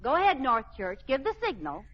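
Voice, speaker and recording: An actress in an old radio sitcom playing a good-humored housewife with a wry, indulgent manner. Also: female voice, high-pitched, huge pitch swings, fairly quick, heavily acted. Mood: scheming, excited. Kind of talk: 1940s-50s radio sitcom acting. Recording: muffled old radio recording, faint noise.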